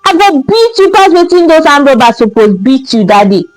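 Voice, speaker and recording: A woman's voice: high-pitched, very animated, moderate pace, no room sound, low-quality recording. A woman with a Nigerian accent voicing an exasperated young man who scolds his friend with emphatic questions and interjections in a folktale.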